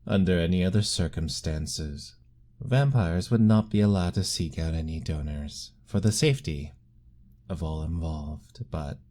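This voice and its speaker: A man voicing an ancient, lovestruck male vampire lord in an ASMR roleplay, formal and soft-spoken, pleading gently. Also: deep voice. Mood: earnest.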